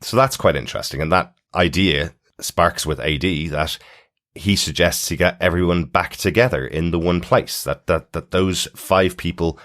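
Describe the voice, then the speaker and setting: A man with an extremely low-pitched voice speaks as a podcast co-host. His delivery is casual and conversational, explaining as he goes in a relaxed review-chat style. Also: normal speed.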